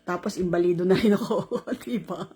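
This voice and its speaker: Filipino woman in her sixties, husky. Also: fairly low pitch, brisk. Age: 60s. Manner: animated, laughing, mixes Tagalog and English. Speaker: caregiver. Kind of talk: casual storytelling vlog.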